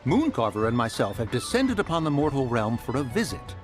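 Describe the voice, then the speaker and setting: An actor playing a stern, dignified ancient deer spirit in human guise who speaks formally, in a video game. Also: male voice, medium pitch, sing-song, soft background music, natural voice. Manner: formal, measured.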